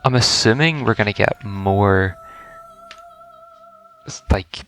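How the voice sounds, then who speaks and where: Low-pitched man commentating on a game. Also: slow, a bit bright, soft background music. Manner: rambling, thinks aloud. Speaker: gaming video host. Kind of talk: casual first-reaction game commentary.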